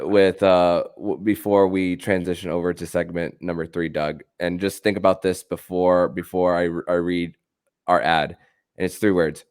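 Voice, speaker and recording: A man hosting a sports podcast, with a halting delivery that often stammers and repeats words. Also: low-pitched, smooth. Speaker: podcast host.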